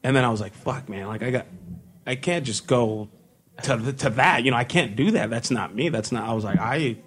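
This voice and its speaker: A man's voice: medium pitch, brisk, fairly bright tone, breathy edge. An American man chatting casually and telling stories in loose slang, with some swearing. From born in Mexico, raised in Long Beach, California from about age three. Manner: slangy, swearing, quotes own thoughts. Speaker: hardcore band singer, interview guest.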